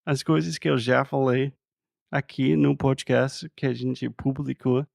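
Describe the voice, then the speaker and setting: American guy speaking learner portuguese, super gravelly and dark, slow, fillers everywhere, dry mic. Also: medium pitch, fairly even intonation, no room sound. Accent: American English. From United States. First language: English. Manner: slow, searching for words, says "tipo" often. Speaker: podcast co-host. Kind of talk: casual conversational chat.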